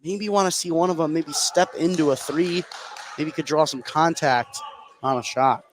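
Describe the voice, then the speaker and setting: A man's voice: fairly high pitch, normal speed. An American man working as a color commentator, giving analysis during a live basketball broadcast. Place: high school basketball gym. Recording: faint gym noise behind the voice. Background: gym ambience.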